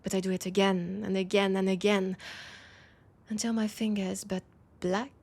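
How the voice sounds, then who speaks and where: A woman with a French accent voicing a weary, wry female vampire in an intimate audio roleplay, speaking in a breathy, hesitant, confiding way. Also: mid-range voice, little pitch movement, moderate pace, darkish tone, close to an everyday voice. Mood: quiet, haunted. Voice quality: breathy, husky.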